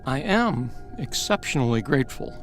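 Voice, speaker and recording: A man's voice: medium pitch, sing-song, moderate pace, soft background music, close to an everyday voice. A man playing a fearless elderly scholar in an audiobook, speaking with eloquent, theatrical mockery. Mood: calm, proud. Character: elderly learned scholar, fearless, wry and taunting. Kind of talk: dramatized audiobook acting.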